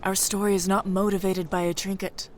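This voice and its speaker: A woman playing a composed, commanding war leader and sister in a fantasy audio drama, steady, formal and reassuring. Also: mid-range voice, a little fast, a little hiss or hum, close to an everyday voice.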